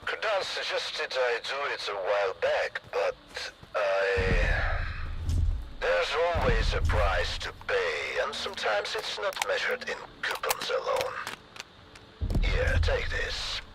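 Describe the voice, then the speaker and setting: An actor playing a weary, somber survivor in a video game, speaking in a hushed, halting, regretful way. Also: male voice, fairly low pitch, sing-song, noisy background, full character voice.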